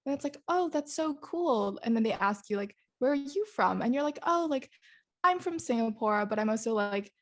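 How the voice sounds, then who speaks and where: A woman's voice: on the high side, expressive, moderate pace. Hesitant young woman chatting on a podcast. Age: young adult. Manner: hesitant, lots of "like" and "I don't know". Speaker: podcast co-host. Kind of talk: casual chat.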